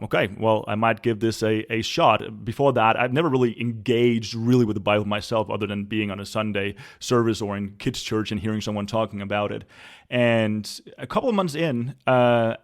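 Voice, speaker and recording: A man from Denmark with a Danish accent, a pastor telling his personal faith story in an earnest, reflective way, recorded in a home. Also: medium pitch. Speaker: church planter and pastor. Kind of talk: personal testimony in an interview.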